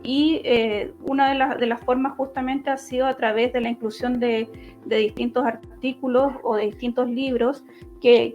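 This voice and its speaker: A woman with a Chilean accent giving explanatory answers in an online debate, halting and often restarting her phrases. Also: on the high side. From Los Ríos region, southern Chile. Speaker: political candidate and law lecturer. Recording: dull lo-fi online audio, music behind.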